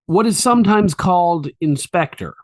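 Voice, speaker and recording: Man playing lively, methodical courtroom prosecutor. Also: sits high, moderate pace. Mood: formal, probing. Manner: formal, precise questioning. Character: methodical, probing male prosecuting attorney in 1890s courtroom. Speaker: voice actor reading a trial transcript. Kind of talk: dramatized courtroom transcript reading, examination.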